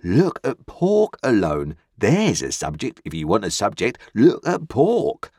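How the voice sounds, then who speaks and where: A man's voice: lowish voice, huge pitch swings, measured pace, dark tone, slightly rough, no room sound, pitched above the performer's own voice, heavily acted. A pompous, sermonizing older uncle with a strained, raised voice that lingers over each word, as a man reading the story puts him on. He holds forth with smug certainty. The delivery is dry and close, with no room around it.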